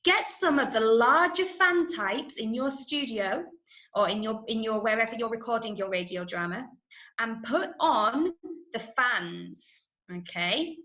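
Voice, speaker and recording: A woman with an English accent and a fairly high voice leads an online lesson for children. She is encouraging and keeps checking that her pupils follow. The sound is clearly roomy and echoing. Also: animated, unhurried, pushed and bright. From Manchester, England. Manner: encouraging, frequent checking "yeah?" and "okay?". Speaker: workshop teacher of radio drama. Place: indoor room, online video call.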